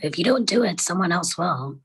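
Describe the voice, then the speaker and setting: Woman acting a low, husky, determined woman. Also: lively intonation, normal speed, rough recording. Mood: defensive. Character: defensive, determined woman patient.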